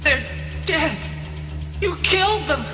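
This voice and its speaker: Young cartoon boy, high and dramatic, earnest orphan, echoing sound with loud music. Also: animated, slow pace, heavily acted. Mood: shocked, accusing. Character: young boy, earnest, grief-stricken, vengeful son. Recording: roomy, under loud music.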